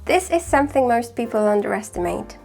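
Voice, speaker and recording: A woman whose first language is Farsi, speaking in a British accent with a slight northern English touch, giving calm, measured, scripted explanations as a language-learning host. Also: on the high side, creaky, soft background music. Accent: British with slight northern English influence. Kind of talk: scripted talk to camera.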